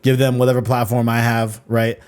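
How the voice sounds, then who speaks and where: A man's voice: mid-range voice, flat delivery, a little fast, darkish tone, clean tone. Rambling man hosting a chatty podcast. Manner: rambling, repeats "you know what I'm saying". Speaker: podcast host. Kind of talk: casual podcast chat.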